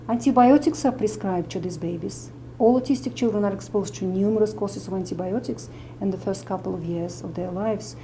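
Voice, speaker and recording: Middle-aged Russian-accented woman, physician explaining to camera; mellow tone, faint background hiss. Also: medium pitch, low-quality recording. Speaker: medical doctor and nutritionist.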